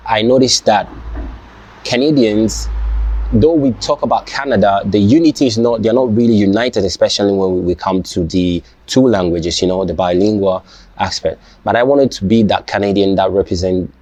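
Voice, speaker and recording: A young man, not a native English speaker, talking casually to his viewers, halting and restarting often and checking "you understand?". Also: fairly low pitch, darkish tone. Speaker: vlogger. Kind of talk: casual personal talk to camera.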